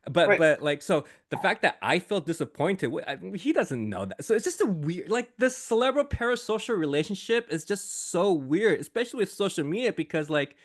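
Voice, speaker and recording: A man who is a guest on a podcast, talking in a casual, animated way and laughing often. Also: high-pitched, brisk, few pauses.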